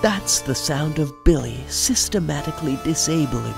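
A man's voice: on the high side, animated, moderate pace, gravelly, over music. A man giving scripted, theatrical narration for a radio play.

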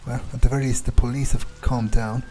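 A man improvising in-character game narration, halting and sweary as he mutters to himself. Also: mid-range voice, flat delivery, noisy background. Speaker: player role-playing his game character.

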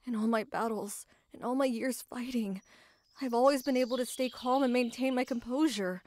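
A woman's voice: fairly high pitch, normal speed, darkish tone, no room sound, close to an everyday voice. A woman playing a tough, proud female knight, guarded about love, speaking earnestly and formally in an anime ASMR roleplay. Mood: wondering, nervous.

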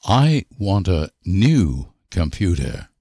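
A man reading short example sentences for language learners with deliberate, careful enunciation. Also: sits low, very animated, mellow, slightly rough, no echo, clean studio sound. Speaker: language teacher.

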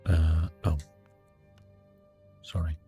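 A man giving soft, hushed ASMR gameplay commentary in a relaxed, unhurried manner. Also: rumbling low, soft background music. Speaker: gaming streamer, host.

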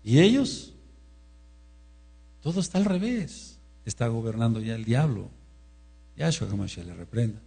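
A man preaching a sermon in an emphatic manner, rising to loud exclamations, with a husky voice. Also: medium pitch, very animated, a little slow, pauses often. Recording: mains hum.

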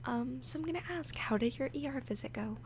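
A woman playing a nervous, awkward, obsessively lovesick young woman in an intimate audio roleplay, halting and often slipping into whispers. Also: high voice, darkish tone, a little hiss or hum. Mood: confused, hesitant. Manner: halting, hesitant, breaking into whispers.

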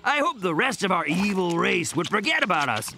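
A man's voice: high-pitched, very animated, fairly quick, gravelly, music in the background, heavily acted. A man voicing a lazy, doom-saying slacker sloth in a cartoon, with laid-back, sighing talk that keeps tagging on "man". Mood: weary, explaining. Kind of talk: animated cartoon acting.

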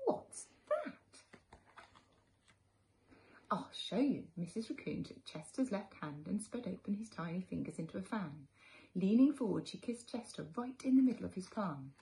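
A British woman in her thirties or forties, a teacher gently reading a children's storybook aloud at home. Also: mid-range voice, lively intonation, brisk, gravelly, rough recording. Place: living room at home.

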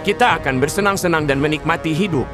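A man's voice: fairly high pitch, quick pace, over music, close to an everyday voice. A man voicing an arrogant, greedy king who boasts and barks commands in a dubbed cartoon. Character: arrogant greedy middle-aged male king.

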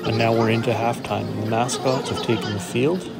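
Casual male vlogger, middle-aged, narrating amid very loud crowd and music. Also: medium pitch, steady tone, normal speed, vocal fry. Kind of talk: casual vlog narration and game commentary. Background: loud music, stadium crowd.

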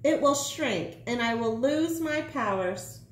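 A woman's voice: fairly high pitch, expressive, takes it slowly, reverberant, pitched above the performer's own voice, rough recording. A woman voicing a chirpy, instructive male magic gnome from a children's storybook. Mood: alarmed. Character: male magic gnome, chirpy, matter-of-fact, a little sly.

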